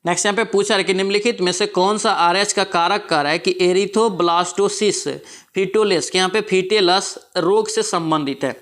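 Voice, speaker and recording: A man's voice: high-pitched, steady tone, moderate pace, fairly bright tone. A man with a Bihari Hindi accent and a sore throat from a cold, walking through exam quiz answers and repeating each one back as a question. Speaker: exam prep teacher. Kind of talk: quiz answer walkthrough lesson.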